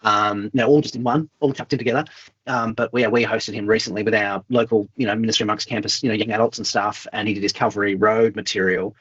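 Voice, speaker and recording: A man's voice: lowish voice, steady tone, fast, fairly bright tone, slightly rough, dull audio. A man hosting a casual interview, chatting in an informal, agreeable way. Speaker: interviewer, host.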